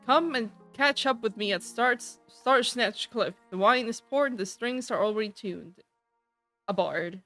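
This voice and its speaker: A woman's voice: a little high, measured pace, a bit bright, soft background music. A woman streaming a game, casually reading dialogue aloud and reacting in an irreverent, slangy, sweary way.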